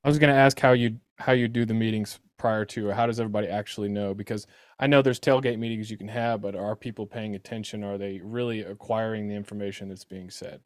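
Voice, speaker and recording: A man's voice: lowish voice, steady tone, fast, no room sound, studio quality. A man hosting a podcast, asking long, rambling questions in casual conversation. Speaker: podcast host. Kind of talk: casual interview chat.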